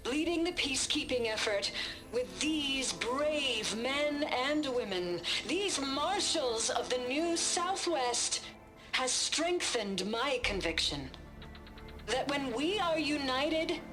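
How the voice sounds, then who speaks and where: A solemn game stateswoman delivers an address at a very slow, deliberate pace. Her voice sits a little high, and a loud music score plays under it like an archival recording. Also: exaggerated voice. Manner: measured, solemn oratory. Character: earnest female statesperson giving a hopeful speech. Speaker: leader addressing a nation.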